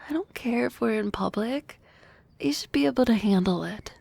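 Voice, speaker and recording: A woman voicing a young harpy classmate in love in an ASMR roleplay, soft and often whispering, hesitant and teasing. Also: fairly high pitch, normal speed, gravelly, husky, no echo, exaggerated voice. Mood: tender, teasing.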